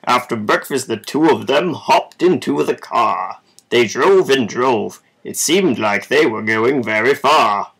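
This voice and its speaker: Man for children's story narration, amateur and informal; unhurried pace, fry-edged voice. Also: medium pitch, animated, fairly bright tone. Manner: informal, measured amateur reading. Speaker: storybook reader. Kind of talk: reading a children's story aloud.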